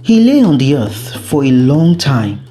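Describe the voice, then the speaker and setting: A storyteller giving a scripted, dramatic and deliberate narration of a folktale. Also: mid-range voice, sing-song, over music.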